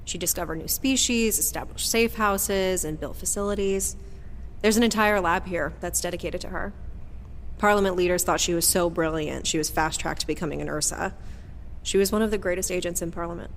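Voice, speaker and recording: An actress playing a defiant, guarded former agent weighed down by family legacy, earnest and bitter, in an audio drama. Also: female voice, on the low side, fairly quick, a little hiss or hum, lightly acted. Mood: reflective. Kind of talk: audio drama acting with first-person narration. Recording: long hall reverb, clear background ambience.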